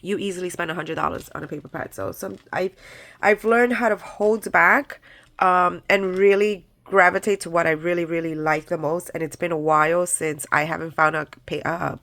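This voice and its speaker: A woman's voice: fairly low pitch, fairly even intonation, moderate pace, fairly bright tone, dry recording. A woman with a head cold and a nasal voice, chatting casually through a craft supply haul with lots of ums and likes. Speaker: crafting channel host. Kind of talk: casual haul video chat.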